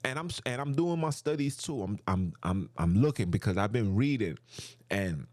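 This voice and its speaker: A man's voice: fairly low pitch, expressive, normal speed, a little mellow, no echo, studio quality. A man hosting a podcast, delivering an impassioned, preachy monologue straight to his listeners, often repeating himself for emphasis. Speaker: podcast host.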